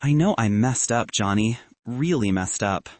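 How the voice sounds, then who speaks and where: A man's voice: medium pitch, animated, a little slow, no echo, lightly acted. A man voicing an apologetic young ex-girlfriend in an audiobook, explaining herself earnestly. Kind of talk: audiobook character dialogue.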